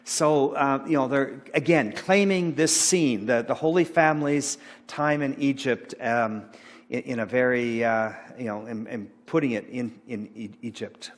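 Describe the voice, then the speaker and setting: A middle-aged man giving an informal sermon, rambling and halting with many fillers and restarts. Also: mid-range voice. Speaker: pastor. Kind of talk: informal sermon talk about slides. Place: church sanctuary. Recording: long church hall reverb.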